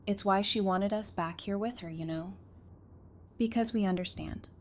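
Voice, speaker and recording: A woman acting a grief-worn but steadying survivor in an audio drama, speaking in a heavy, halting way. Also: mid-range voice, lightly acted. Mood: tender, comforting.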